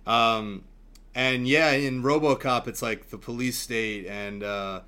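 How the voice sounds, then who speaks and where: A man talking casually and enthusiastically in a film discussion, in a halting, rambling way. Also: mid-range voice, steady tone, pushed and bright, clean tone. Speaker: podcast panelist.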